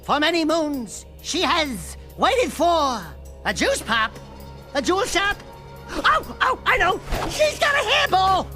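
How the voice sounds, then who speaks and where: A brash little animal sidekick from a video game. The voice is very high and wildly animated, sarcastic and cocky, over prominent music. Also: male voice, slow pace, full character voice. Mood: frantic guessing. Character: cocky wisecracking flirtatious small furry male sidekick creature.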